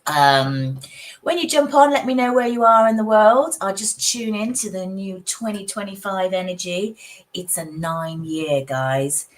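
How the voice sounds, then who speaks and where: A woman who is husky from a cold, chatting casually with viewers as a psychic livestream host in her home office. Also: medium pitch, measured pace. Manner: chatty, warm greetings, frequent little laughs.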